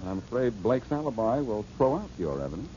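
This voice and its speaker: A man's voice: mid-range voice, big pitch swings, brisk, warm tone, natural voice. An actor playing a wry, brooding male detective in an old radio drama. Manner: dry, wry. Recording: dull old radio broadcast with hiss.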